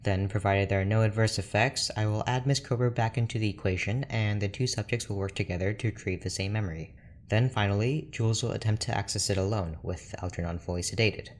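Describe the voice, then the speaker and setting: A man playing a reserved, formal research doctor in an audio drama, speaking in a measured, dry manner. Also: fairly low pitch, fairly even intonation, natural voice. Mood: measured, clinical. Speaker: scientist character in an audio drama. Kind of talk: scripted audio drama monologue and dialogue. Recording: clear background noise with ambience.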